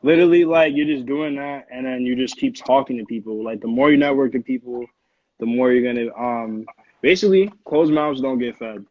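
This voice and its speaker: A man's voice: mid-range voice, a little fast, no room sound. An American man from Connecticut, a music producer chatting casually as an interview guest in informal slang peppered with "like". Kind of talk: casual chat. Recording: dull, lo-fi audio.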